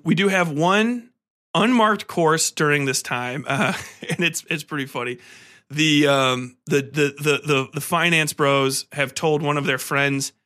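A man podcast host giving a casual, rambling film review, enthusiastic and profane, often halting and repeating himself. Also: a little high. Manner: animated, enthusiastic; profane, slangy.

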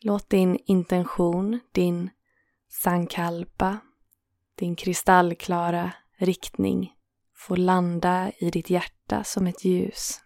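A woman guiding a yoga nidra relaxation in a calm, soothing manner, leaving gentle pauses between phrases. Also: lowish voice, vocal fry, dry recording, clean studio sound. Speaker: meditation guide and host.

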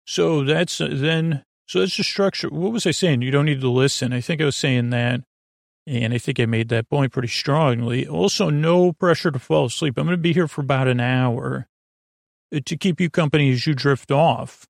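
A man hosting a sleep podcast, talking in a meandering, gentle way with many restarts and fillers. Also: medium pitch, normal speed, vocal fry, no room sound, studio quality. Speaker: podcast host. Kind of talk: rambling bedtime podcast intro.

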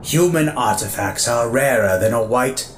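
A man acting a slow, grandiose old alien warlord. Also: mid-range voice, fairly bright tone, soft background music, lightly acted. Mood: grandiose, menacing. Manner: grandiose, theatrical, deliberate. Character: old male alien warlord, dry, boastful, menacing. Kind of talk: sci-fi audio drama acting. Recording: roomy echo, background noise.

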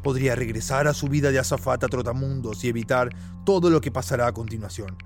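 A man's voice: medium pitch, fast talker. A man narrating a scripted audio drama in a wry, playful, dramatic way, addressing the listener directly. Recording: loud music underneath.

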